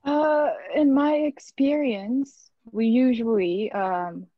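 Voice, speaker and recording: A woman from the Philippines, chatting casually on a panel in a relaxed, hesitant way with many fillers. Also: a little high, slow pace, no room sound, lo-fi sound. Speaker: panelist, digital marketing specialist.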